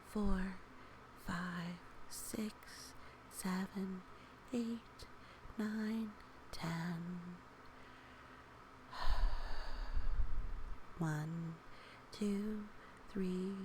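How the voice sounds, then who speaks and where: A woman reading a children's story aloud in a gentle, encouraging way, with casual asides to young listeners and a creaky voice that often softens toward a whisper. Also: fairly low pitch. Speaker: storybook reader. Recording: faint background noise.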